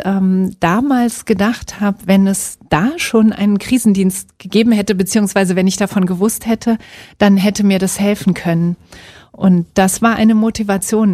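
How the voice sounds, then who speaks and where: A middle-aged woman from Berlin speaks as a psychologist guest, choosing her words with care and easing in with little fillers. Her tone is mellow and warm rather than bright. She sits close to the microphone in a dry, dead room. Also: mid-range voice, no echo. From Berlin, Germany. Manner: thoughtful, measured, with fillers and soft laughs. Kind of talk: reflective interview answers.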